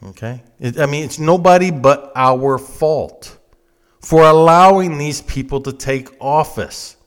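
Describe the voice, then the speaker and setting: A man's voice: fairly high pitch, a little slow, clean tone. An American man in his fifties delivering an opinionated political monologue, blunt and emphatic, often repeating phrases to drive them home. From United States. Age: 50s. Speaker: political commentator and host.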